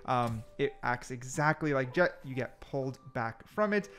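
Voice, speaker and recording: A man's voice: medium pitch, animated, moderate pace, fairly bright tone. A man giving casual, chatty explainer commentary over gameplay, talking the viewer through it step by step. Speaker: gaming video host.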